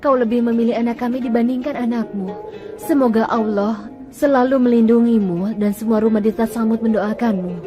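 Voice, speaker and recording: Woman doing a humble grateful villager, slightly high voice, earnest, music underneath. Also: steady tone, fairly quick, highs cut off, close to an everyday voice. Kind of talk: dubbed cartoon acting. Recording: music bed under dialogue.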